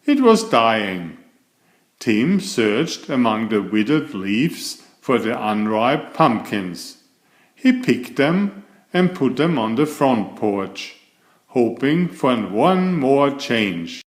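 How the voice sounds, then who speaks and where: Male bedtime-story reader, slow and deliberate, very animated intonation, roomy sound. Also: mid-range voice. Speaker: storyteller, children's author and channel host. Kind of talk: read-aloud children's bedtime story narration.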